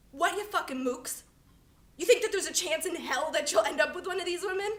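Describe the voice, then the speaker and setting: Brash, fed-up young woman, played by a female student, high and sharp, sarcastic scolding monologue. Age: young adult. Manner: sarcastic, blunt, forceful. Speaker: student actor. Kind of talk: performed film monologue. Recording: echoey room sound.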